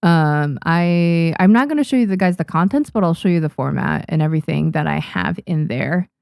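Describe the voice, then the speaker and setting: A young woman, Japanese her first language, runs a relaxed live stream from her home studio, chatting with viewers and restarting her sentences often. Her voice sits low and carries a gravelly edge. The sound is dry and close. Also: moderate pace, dry recording. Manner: chatty, reads and answers chat, frequent restarts and fillers. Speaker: streamer and manga artist.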